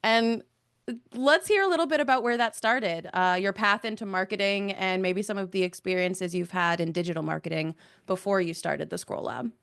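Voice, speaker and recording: Podcast co-host, woman, mid-pitched, upbeat and friendly. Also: normal speed, gravelly, a bit bright, clear, resonant tone. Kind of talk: scripted show intro, then interview.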